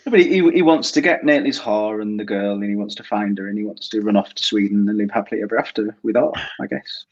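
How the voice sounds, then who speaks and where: A man in a casual book club chat who keeps jumping in with quick quips. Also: lowish voice. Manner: chatty, jumps in with quips. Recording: muffled lo-fi sound.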